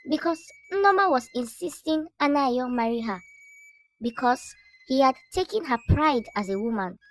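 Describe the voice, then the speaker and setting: A woman storyteller narrates a scripted folktale. Her voice is high in pitch. She delivers it in a measured, dramatic manner. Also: low-quality recording.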